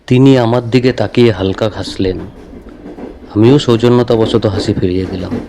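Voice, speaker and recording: A man's voice: on the low side, steady tone, normal speed, noisy background. A man giving a scripted first-person narration of a horror story. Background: music.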